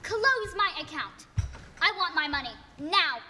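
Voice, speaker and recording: A young woman playing an indignant small-town shareholder, extremely high and bright in voice, demanding and insistent. It is radio play acting in a reverberant hall. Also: animated, measured pace, exaggerated voice. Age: teens or young adult. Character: angry, demanding small-town shareholder wanting her savings. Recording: reverberant hall with crowd behind.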